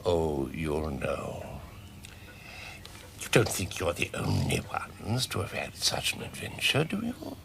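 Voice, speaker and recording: An actor playing a kindly, amused old British professor in a radio drama, musing theatrically in a gravelly voice. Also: male voice, extremely low pitch, huge pitch swings, slow pace, background noise, heavily acted. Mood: musing, knowing. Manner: musing, theatrical, swooping delivery.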